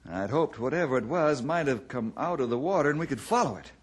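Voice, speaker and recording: Husky, animated ranger hero. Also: male voice, medium pitch, fast. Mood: explaining. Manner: folksy, reflective, reassuring. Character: steady, thoughtful, fatherly male ranger hero. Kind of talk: old-time radio drama acting.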